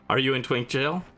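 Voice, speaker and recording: A man's voice: mid-range voice, bright, forceful tone. A man hosting a gaming livestream, chatting casually and playfully as he responds to his viewers. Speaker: livestream host.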